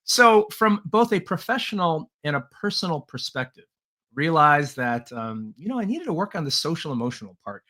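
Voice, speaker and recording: A man's voice: fairly high pitch, big pitch swings, normal speed, sharp tone. A middle-aged man from Taiwan, a podcast guest and leadership trainer, telling his life story in an earnest way, with many "uh" fillers and light laughs. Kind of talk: relaxed interview storytelling.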